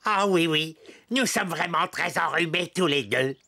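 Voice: medium pitch, a little slow, pushed and bright. A performer voicing a friendly toy circus clown in a French children's cartoon, theatrical and playful, with music behind. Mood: sorry, sniffly.

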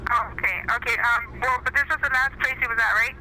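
A woman's voice: fairly high pitch, fairly quick, sharp tone. A woman working at a business, taking a phone call politely and a little hesitantly. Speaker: truck stop employee taking a call. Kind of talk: phone conversation with a caller. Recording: noisy telephone line.

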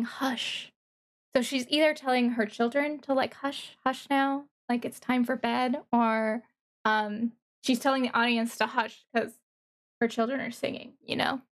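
A woman giving casual, excited reaction commentary as a streamer, thinking aloud haltingly with interjections. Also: high voice. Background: music from the watched video.

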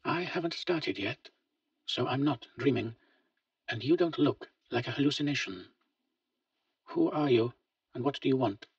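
A man voicing a weary older father who speaks quietly and bluntly, with a husky voice. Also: mid-range voice, unhurried, pauses often, dry recording, pitched above the performer's own voice. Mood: wary. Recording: dull lo-fi, distant mic.

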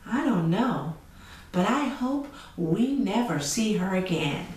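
A woman voicing a concerned, agreeable mother bear in a storybook, measured and rising at the ends of sentences. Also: medium pitch, big pitch swings, a little mellow. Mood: mildly troubled. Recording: roomy echo, distant mic, faint noise.

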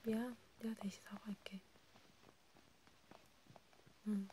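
Casting: woman for whispered ASMR role play, soft dark timbre, breathy, close and conversational, with faint noise behind. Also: medium pitch. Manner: intimate, halting, informal one-sided conversation. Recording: steady background noise with clicks.

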